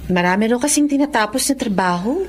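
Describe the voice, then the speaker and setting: A woman's voice: a little high, animated, fast talker, slight background noise, lightly acted. An actress playing a trusting, defensive young wife and mother in a Tagalog radio drama. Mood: polite.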